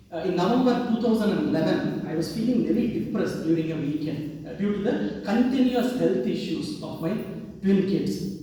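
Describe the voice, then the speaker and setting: A middle-aged South Indian man, a native Tamil speaker, delivers a prepared devotional speech in a notably high voice. His manner is formal and earnest. The sound is distant, washed in the long, heavy reverberation of a hall. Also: warm tone. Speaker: devotee giving a memorial talk. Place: large reverberant ashram hall.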